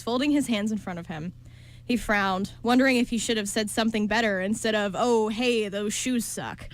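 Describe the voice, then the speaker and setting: A woman narrating a scripted story as part of a radio play. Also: mid-range voice.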